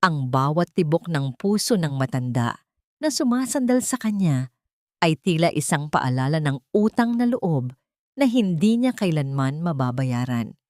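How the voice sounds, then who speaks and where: Low-voiced woman narrating a dramatic story. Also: sing-song, unhurried, no echo, clean studio sound.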